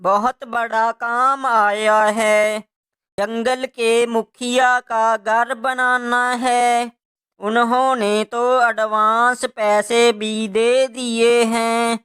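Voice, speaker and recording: A man voicing a tired, ailing father crow in a cartoon moral story, worried and gentle with his family. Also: very high-pitched, little pitch movement, extremely slow, smooth, full character voice. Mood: weary.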